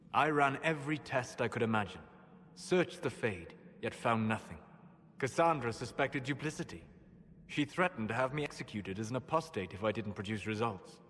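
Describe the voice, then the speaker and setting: An actor playing a calm, scholarly elven mage in a fantasy game, speaking in a measured, formal, lecturing manner. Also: male voice, mid-range voice, natural voice. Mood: reflective. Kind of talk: scripted fantasy game dialogue.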